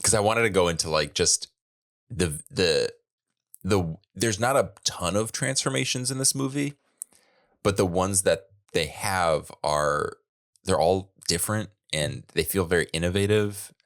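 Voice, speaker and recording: A man's voice: low voice, measured pace, fairly bright tone. A man co-hosting a podcast, chatting casually and animatedly, laughing often and trailing off mid-thought. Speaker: podcast co-host. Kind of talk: casual chat.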